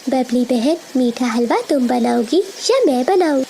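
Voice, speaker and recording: A woman plays a kind little female sparrow in a cartoon tale. She speaks high and lively, with polite, gentle manners, over faint noise. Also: measured pace, creaky, exaggerated voice.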